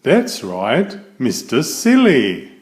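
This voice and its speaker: A man's voice: medium pitch, wildly swooping pitch, extremely slow. A man reading a children's bedtime story aloud expressively, putting questions to the listener. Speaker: storybook reader.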